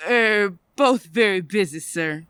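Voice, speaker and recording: A woman playing a polite, earnest Victorian charity collector in a radio play, measured and courteous. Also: medium pitch, fairly bright tone, smooth, dry recording, studio quality. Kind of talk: old-time radio play acting.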